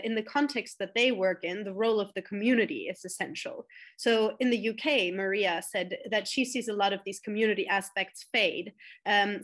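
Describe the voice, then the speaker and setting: A woman moderating a panel discussion over a video call, measured and hesitant. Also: mid-range voice, a bit bright.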